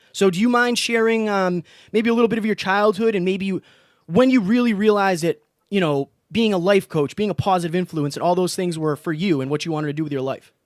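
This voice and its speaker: High-voiced, eager man hosting a podcast. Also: no room sound, studio quality. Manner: eager, drawn-out questions. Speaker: podcast host. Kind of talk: podcast interview.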